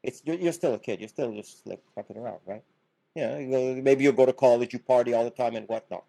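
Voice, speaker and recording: A middle-aged man from Canada talks through his ideas as a podcast guest, with a mellow, dark tone. He is earnest and explanatory, often repeating a word as he restarts a sentence. His pitch sits in an ordinary middle range. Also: fast, gravelly, poor audio. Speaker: podcast guest, business founder. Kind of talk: casual podcast conversation.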